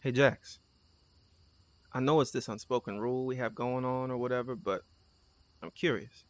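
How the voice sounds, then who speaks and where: A man's voice: mid-range voice, steady tone, normal speed, no room sound, lightly acted. Casual, world-weary fifty-year-old man acted by a man. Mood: casual, pensive. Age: 50s. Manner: casual, familiar. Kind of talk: naturalistic audio drama dialogue.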